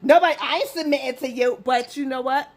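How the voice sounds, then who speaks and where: An animated woman in her forties hosts a relaxed talk show, her voice sitting a touch high. She tells stories with gusto, acting out the people she quotes, and laughs often. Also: fast. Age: 40s. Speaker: host. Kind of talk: casual chat on relationships.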